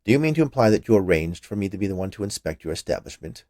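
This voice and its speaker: A man's voice: low voice, very animated, racing, darkish tone, no room sound. A man playing a small, fussy health inspector in a story reading, with a formal, dry, precise manner. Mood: formal, puzzled. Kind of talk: dramatized short story reading.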